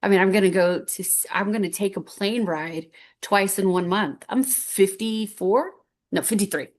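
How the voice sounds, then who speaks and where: A woman's voice: medium pitch, normal speed. A woman in her fifties telling a heartfelt personal story on stage, earnest and rambling, with many restarts. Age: 50s. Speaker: guest speaker.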